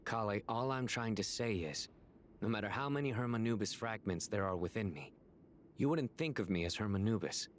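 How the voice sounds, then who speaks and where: Actor as reassuring, serious male companion in a game; lowish voice, measured, light noise behind. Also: close to an everyday voice. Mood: calm, serious. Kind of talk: scripted video game dialogue.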